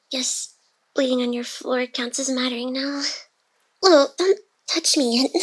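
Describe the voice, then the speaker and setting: A woman performs a young female AI hacker, wounded yet playful and devoted, in an intimate ASMR roleplay. Her voice sits high and girlish. She speaks in halting, breathy phrases that tease and often sink into whispers. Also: fairly bright tone. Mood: urgent, intimate.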